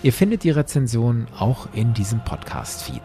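A man hosting a podcast, giving explanatory, semi-scripted commentary with clear emphasis. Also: mid-range voice, wildly swooping pitch, moderate pace, warm tone, soft background music. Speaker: podcast host.

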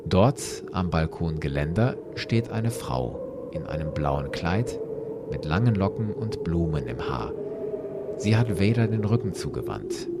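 A man's voice: low-pitched, animated, measured pace, heavy background noise. A man hosting a podcast who retells a story with vivid, dramatic narration. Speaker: podcast host. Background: music.

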